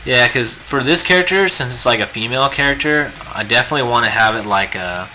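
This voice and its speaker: Raspy laid-back man streaming his drawing. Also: medium pitch, lively intonation, fast, pushed and bright, slight background noise, poor audio. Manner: laid-back, rambling, many fillers. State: hoarse from a long stream. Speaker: live-streaming artist. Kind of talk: casual live-stream chat while drawing. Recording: music playing behind the voice. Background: guitar music.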